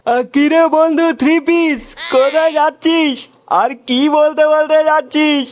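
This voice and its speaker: A man's voice: extremely high pitch, fairly even intonation, measured pace, faint music behind. A man with a Bangladeshi accent voicing a teasing young male friend in a cartoon. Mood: curious. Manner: teasing, needling. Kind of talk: cartoon voice acting.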